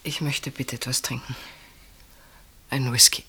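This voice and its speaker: A woman's voice: very deep voice, little pitch movement, slight background noise, exaggerated voice. An actress in a German radio play, playing an anguished woman secretly in love with her sister's husband, intense and dramatic. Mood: stunned, hushed. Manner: intense, dramatic, swinging from outcry to hushed halting speech.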